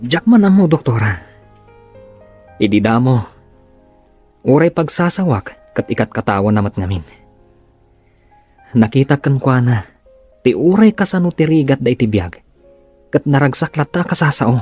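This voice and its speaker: An actor plays a young patient in a radio drama, earnest and a little stubborn. His voice is husky and of middling pitch. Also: lively intonation, moderate pace, long pauses, a little mellow, faint music behind. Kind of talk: Ilocano radio drama acting.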